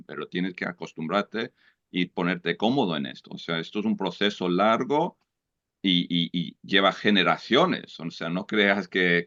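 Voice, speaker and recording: A man whose first language is English asks his questions haltingly, searching for words. His voice is low but bright and sharp. The sound is dull and lo-fi. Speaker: audience member asking questions.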